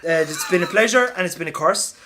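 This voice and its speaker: A man's voice: on the high side, brisk, pushed and bright, a little hiss or hum. An Irish man co-hosting a comedy podcast, bantering casually in an exasperated, sweary grumble. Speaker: podcast co-host.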